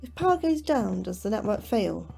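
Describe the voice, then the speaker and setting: A woman's voice: medium pitch, big pitch swings, moderate pace, gravelly, a little mellow, a little hiss or hum. Anxious, inquisitive woman scientist. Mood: inquisitive, uneasy. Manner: questioning, nervous, apologetic. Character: anxious, inquisitive, apologetic female astrobiologist. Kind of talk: audio drama acting.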